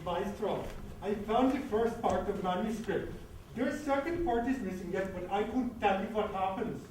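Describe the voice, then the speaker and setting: A man playing an earnest, excitable German with a German accent in a staged comedy reading. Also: high voice, normal speed, close to an everyday voice. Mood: earnest, excited. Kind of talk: staged readers' theatre comedy. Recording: echoey hall from far, background noise.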